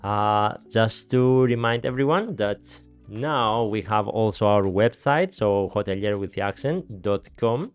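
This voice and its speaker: A man in his thirties with a Greek accent hosts a relaxed podcast chat in a small studio, talking into a boom mic. His voice sits lowish and comes across smooth and resonant. He is chatty and laughs easily, with faint music underneath. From Greece. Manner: chatty, laughs often, non-native grammar. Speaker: podcast host. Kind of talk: casual interview chat. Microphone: studio mic on boom arm, headphones.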